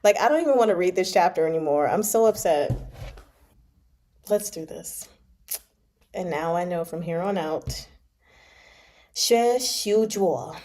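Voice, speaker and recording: Mellow-voiced woman reading and chatting informally. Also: on the low side, measured pace, long pauses. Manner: informal, slangy, expressive commentary. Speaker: host of a live book read-along. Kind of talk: reading a novel aloud with casual reaction asides.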